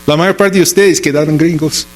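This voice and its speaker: A man's voice: on the high side, steady tone, moderate pace, noisy background. A man with an American accent and English as his native language, preaching a sermon as a pastor with rhetorical questions and emphatic, repeated short phrases. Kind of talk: Sunday sermon with scripture reading and storytelling.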